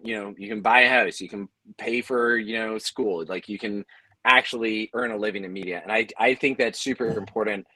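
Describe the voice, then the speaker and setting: Lowish-voiced man, analytical and halting. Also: bright, forceful tone, dry recording, poor audio. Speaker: panelist, audio drama creator. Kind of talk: conversational panel talk.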